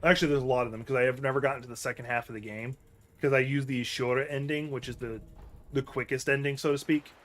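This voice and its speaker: A man's voice: mid-range voice, steady tone, fast talker, a bit bright. A man with a mid-range voice talks through his gameplay in a loose, offhand way. He rambles and backtracks, fixing his words mid-thought as he plays. It sounds like an easygoing stream chat with his viewers. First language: English. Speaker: gaming streamer. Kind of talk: casual let's-play commentary while playing.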